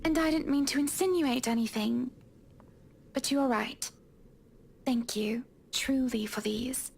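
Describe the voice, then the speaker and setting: A man voicing a gentle, hesitant, polite wife in a visual novel, with a soft, tentative, creaky delivery. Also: very high-pitched, unhurried, slight background noise, pitched above the performer's own voice, exaggerated voice. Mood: gentle, uncertain.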